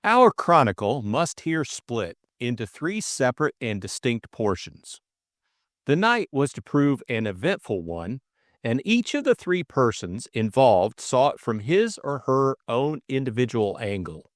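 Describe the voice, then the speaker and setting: Deep, unhurried male audiobook narrator. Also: big pitch swings, no room sound, clean studio sound. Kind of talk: scripted story narration.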